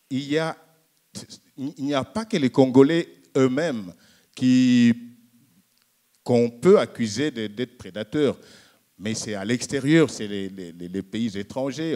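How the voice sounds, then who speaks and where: A man answering as a panel speaker in a public discussion, arguing in a halting way and repeating words. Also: medium pitch, mellow.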